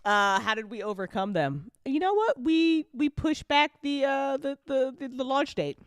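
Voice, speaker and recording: A woman's voice: high-pitched, expressive, normal speed, clean tone, no room sound, studio quality. A woman hosting a casual live chat as a show creator, talking in a rambling, giggly, informal way.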